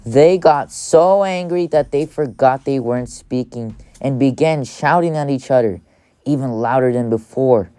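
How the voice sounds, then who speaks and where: Low-pitched woman reading a children's storybook. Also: a little slow, warm tone, clear, resonant tone, no room sound, soft background music. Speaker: audiobook reader.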